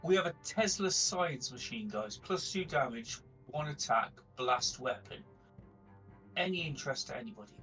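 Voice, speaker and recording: A man's voice: on the high side, expressive, moderate pace, bright, forceful tone, far from the mic, faint music behind, poor audio. A man playing a tabletop game, casually tallying up items and bargaining.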